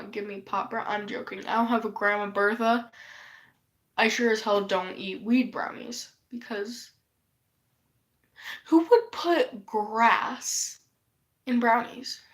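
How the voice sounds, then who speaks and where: A woman's voice: fairly high pitch, slow. A woman who is losing her voice from a cold, rambling in a casual vlog, sighing often and swearing offhandedly. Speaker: vlogger.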